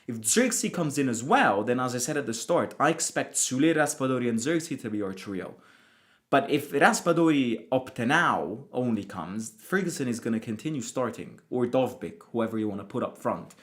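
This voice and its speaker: A man's voice: medium pitch, expressive, normal speed. Chatty man with many restarts. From Italy. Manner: chatty, self-interrupting with frequent restarts and repeated words. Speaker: football fan channel host. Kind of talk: casual livestream chat reading viewer comments.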